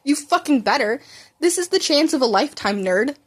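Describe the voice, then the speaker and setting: A brash teenage boy rival, acted out by a woman reading fan fiction. The voice sits high for all its scorn. He is blunt, bossy and quick to insult. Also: animated. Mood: scolding. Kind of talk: fan fiction audiobook character voice.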